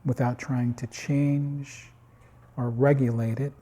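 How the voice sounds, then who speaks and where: A man guiding a meditation in calm, unhurried short phrases with long pauses, his voice slightly husky. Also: mid-range voice. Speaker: meditation guide. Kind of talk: guided body scan meditation.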